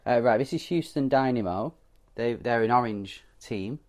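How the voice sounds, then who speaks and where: Young British male podcast host, mid-pitched, chatty, umming, dry close-mic sound. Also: normal speed, darkish tone, dry recording. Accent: British English. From Britain. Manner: chatty, hesitant with many ums and restarts. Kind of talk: casual football podcast chat.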